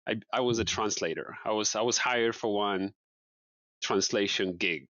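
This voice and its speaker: Interview guest: Polish man, thirties, low crisp voice, dry lo-fi recording. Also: normal speed, dry recording. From Poland. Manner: relaxed, repeats words, laughs lightly. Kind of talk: casual interview.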